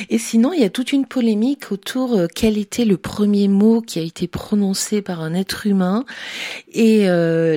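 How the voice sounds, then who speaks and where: A woman's voice: medium pitch, dark tone. A woman interviewing a guest on the radio, asking friendly, open questions.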